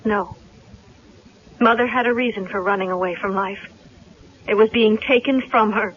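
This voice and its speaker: An actress playing a strong-willed young grieving daughter, earnest and direct, in a 1970s radio drama. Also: female voice, mid-range voice, normal speed, breathy edge, close to an everyday voice. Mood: defiant. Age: young adult. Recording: dull lo-fi vintage radio recording.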